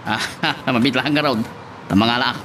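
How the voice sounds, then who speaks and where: A man's voice: medium pitch, moderate pace, over music, close to an everyday voice. A man with an Ilocano accent playing a cocky, chuckling crook who goads his pal in an audio drama. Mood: teasing. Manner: chuckles often, goading. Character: cocky, jolly male crook luring his pal into drug running. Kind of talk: Ilocano radio-style drama acting.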